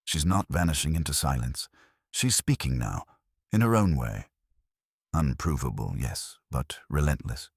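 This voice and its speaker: Casting: man as unhurried detective inspector for audio mystery, very deep, understated, quietly relentless, dry close sound. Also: big pitch swings, creaky, breathy edge, dry recording, exaggerated voice. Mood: calm, relentless. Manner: measured, understated, softly spoken. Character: quiet, unhurried, probing male police inspector.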